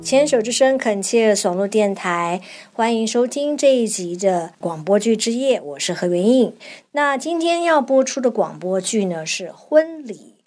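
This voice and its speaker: A woman's voice: a little high, expressive, moderate pace, darkish tone, faint music behind. A woman hosting a radio interview in a conversational style. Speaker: radio programme host.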